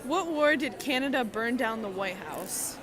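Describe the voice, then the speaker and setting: A woman's voice: a little high, expressive, normal speed. A young woman interviewing people in a casual, chatty street quiz, informal and giggly in manner. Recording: busy public crowd noise behind.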